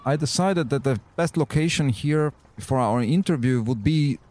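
Man, relaxed English. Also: medium pitch. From Austria. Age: 30s. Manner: relaxed, plain-spoken, halting non-native phrasing. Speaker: diver and business owner. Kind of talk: interview answers. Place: on a boat in a bay.

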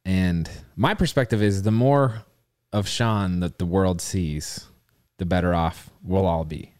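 A man co-hosts a podcast and asks his partner searching questions, stammering and restarting as he gropes for words. His voice is low and sits dry and close to the mic. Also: measured pace, a little mellow, smooth, no room sound. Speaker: podcast co-host. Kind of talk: conversational interviewing.

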